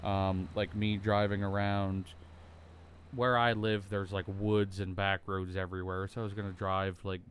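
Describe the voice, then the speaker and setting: A young American man with a deep, bass-heavy voice and a smooth, clear tone. He rambles casually while gaming, stringing thoughts together with frequent ums, likes and restarts. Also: a bit bright. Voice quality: bassy voice. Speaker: gaming YouTuber. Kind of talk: casual gameplay commentary.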